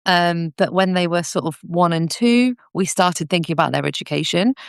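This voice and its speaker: Female British podcast guest, casual storyteller, clean clear tone, close dry sound. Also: on the low side, no room sound. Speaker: podcast guest, business owner.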